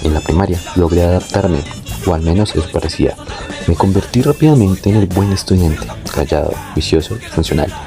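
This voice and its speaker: A young man reading a scripted, reflective personal narration in a measured, literary way. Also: low voice, over music. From born in Venezuela, moved to Colombia. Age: young adult. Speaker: narrator of his own life story.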